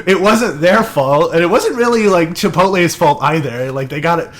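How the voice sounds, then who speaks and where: Young male podcast co-host, slightly higher voice, loose rambling storyteller who restarts a lot. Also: moderate pace, noisy background. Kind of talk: casual podcast chat.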